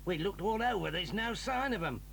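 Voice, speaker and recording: A man's voice: high voice, expressive, a little fast, creaky, a bit bright, distant mic, a little hiss or hum, heavily acted. A man playing a blustering, threatening father who is a villain in a 1980s audio drama, recorded on old tape a little back from the mic. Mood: grumbling. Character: gruff menacing father, Mr Stick, armed.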